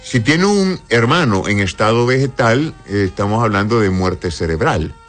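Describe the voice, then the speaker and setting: A man with a very low voice hosts a medical advice show. He reads out listener messages and answers directly, explaining things plainly and often checking with a short tag question. Faint music plays behind him. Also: lively intonation, moderate pace.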